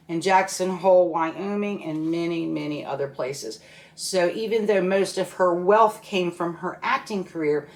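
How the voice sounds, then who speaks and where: A woman in her sixties chatting to her viewers as a fashion vlogger, with frequent ums and self-corrections. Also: sits low. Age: 60s. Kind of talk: casual talk to camera.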